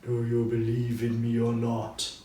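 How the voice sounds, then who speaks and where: A solemn storybook ghost of a dead businessman, acted out by a man, speaks slowly and emphatically. The voice is anguished and full of regret. It rings in a roomy echo, some distance from the mic. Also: medium pitch, monotone, mellow, lightly acted. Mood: stern, demanding. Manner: slow, solemn, emphatic declamation.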